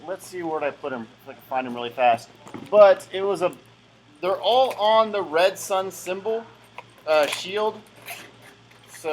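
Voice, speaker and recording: A young man talks through an unboxing in a loose, rambling way, full of "uh"s and restarts. His voice sits a touch high for a man. A faint hum of room ambience runs under his camera-mic recording. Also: rough recording. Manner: chatty, rambling, lots of fillers and restarts. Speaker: collectible game unboxer. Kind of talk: casual unboxing commentary.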